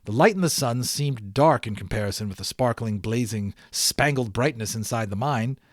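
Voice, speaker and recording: A man reading a children's novel aloud as an expressive amateur audiobook narrator. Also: mid-range voice, normal speed, no room sound, studio quality.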